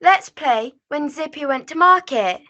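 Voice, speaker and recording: A woman's voice: high voice, lively intonation, measured pace, sharp tone, heavily acted. A young woman voicing an insistent, matter-of-fact puppet in an amateur play over a video call. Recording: muffled video call audio.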